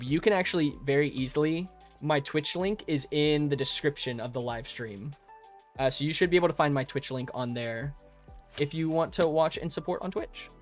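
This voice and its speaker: A young adult man live streaming and chatting casually with viewers while he plays, friendly and rambling, with frequent laughs and restarts on a modest home microphone. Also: fairly high pitch. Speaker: live streamer answering chat.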